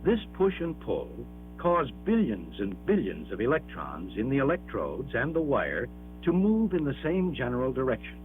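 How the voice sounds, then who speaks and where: A man reads a scripted educational film narration in a measured, emphatic, explanatory manner, on an old 1960s film soundtrack. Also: mid-range voice, wildly swooping pitch, faint music behind. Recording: dull band-limited 1960s 16mm film soundtrack.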